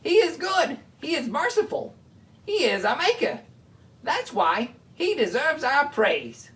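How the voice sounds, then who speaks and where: A woman hosting a children's Bible show, explaining things simply and chatting along in an animated way. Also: high-pitched, unhurried, far from the mic.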